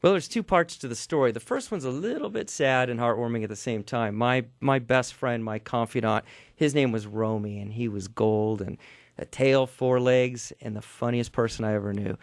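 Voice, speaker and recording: A man's voice: medium pitch, normal speed, dry recording, studio quality. A man appearing as an author on a radio show, telling stories in a playful, tongue-in-cheek way. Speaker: author and guest. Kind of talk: casual studio interview. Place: radio studio.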